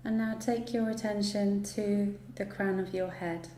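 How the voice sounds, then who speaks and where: Mellow-voiced woman guiding deep relaxation. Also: fairly even intonation. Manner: soothing, unhurried instruction with long pauses. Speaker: yoga nidra guide. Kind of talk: guided relaxation meditation. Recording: roomy echo, light background noise.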